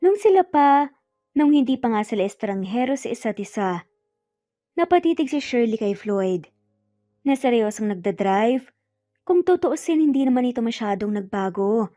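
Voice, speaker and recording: A woman narrates a scripted dramatic story in a fairly high, mellow voice. The recording is dry and close, with faint music underneath. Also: lively intonation, moderate pace, long pauses, no room sound.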